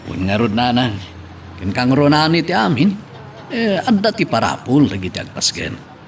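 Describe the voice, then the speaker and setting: A man's voice: mid-range voice, very animated, normal speed. A man playing an easygoing, practical villager in an audio drama, talking matter-of-factly. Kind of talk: Ilocano audio drama acting. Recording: faint background noise.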